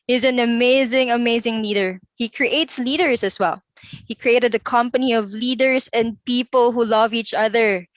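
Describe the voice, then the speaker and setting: A young woman speaks in a chatty, upbeat way, laughing often. Her voice sits fairly high. The recording is close and dry. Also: fairly even intonation, normal speed, no room sound. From Philippines. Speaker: interview guest. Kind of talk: casual online interview. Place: home room.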